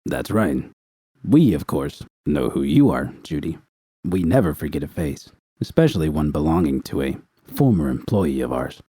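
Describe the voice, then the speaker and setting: A man voicing a bloated, menacing gang boss who speaks slowly and smugly. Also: very deep voice, big pitch swings, dark tone, breathy edge, dry recording, clean studio sound, voice pushed down, heavily acted. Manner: slow, smug, threatening.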